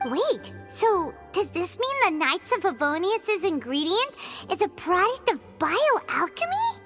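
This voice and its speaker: A woman voicing a small, childlike, excitable fairy companion in a video game, squeaky and exclamatory, talking about herself in the third person. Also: unhurried, full character voice. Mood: surprised, teasing. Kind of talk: video game character acting. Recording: studio voice over game music.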